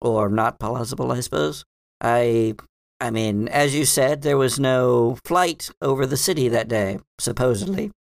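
A man's voice: mid-range voice, unhurried, gravelly, no room sound, studio quality. A man playing a hesitant, bookish librarian in a tabletop game, rambling and hedging in an earnest, mildly dismissive way. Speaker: game master. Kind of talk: improvised in-character tabletop roleplay.

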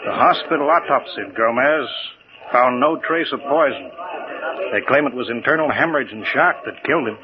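An actor playing a skeptical newspaper editor, wry and matter-of-fact, in 1940s radio drama style. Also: male voice, mid-range voice, moderate pace, a crowd in the background, natural voice. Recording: dull, noisy 1940s radio recording.

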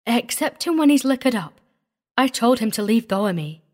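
A woman's voice: fairly high pitch, animated, normal speed, voice pushed up, natural voice. A woman voicing a simple, devoted young mountain wife in a storybook, earnest and prim, with a rural Southern US accent. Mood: apologetic, earnest.

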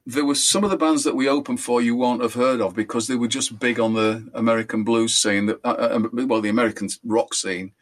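An older man, a musician being interviewed, telling rambling anecdotes in a relaxed way and correcting himself as he goes. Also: brisk, hardly any pauses. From England. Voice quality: high voice. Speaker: musician guest.

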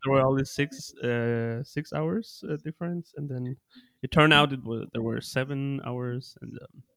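A man's voice: medium pitch, measured pace, vocal fry, a bit bright. A man from Ecuador hosting a podcast, asking questions casually with frequent restarts. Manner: friendly, stumbling restarts. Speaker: podcast host. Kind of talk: casual interview chat.

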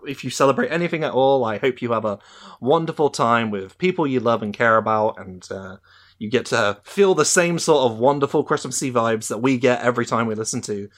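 Guy co-hosting a casual podcast, really lively up-and-down delivery, mid pitch. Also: brisk. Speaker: podcast co-host.

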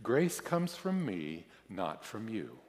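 A man voicing God as an amused, patient Lord who teaches in a deliberate, pointed way, in a reverberant hall. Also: mid-range voice, very animated. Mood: earnest, slow. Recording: echoey hall, long reverb.

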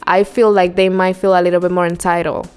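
Woman, host, smooth voice, thoughtful, faint music behind. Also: lowish voice, flat delivery, quick pace. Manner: thoughtful, nods along with frequent fillers. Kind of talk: casual interview.

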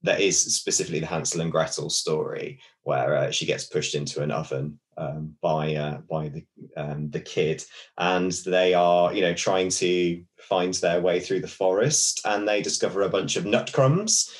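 A man's voice: deep voice, normal speed. A man co-hosting a podcast, chatting casually and explaining folklore with many fillers and restarts. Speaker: podcast co-host.

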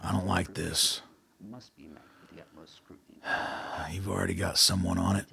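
An actor playing a gruff, irritable male colonel in a radio play, blunt, curt and suspicious, with a gravelly voice. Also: low-pitched, flat delivery, slow, pushed and bright, exaggerated voice. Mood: wary, annoyed.

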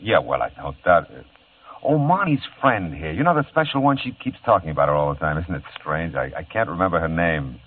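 A man's voice: low voice, big pitch swings, fairly quick, a little hiss or hum. An actor playing a wry, easygoing male private eye who narrates his own case in a laid-back, hard-boiled way, in 1950s radio drama style. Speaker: narrator and lead character. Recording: tinny old radio broadcast with music.

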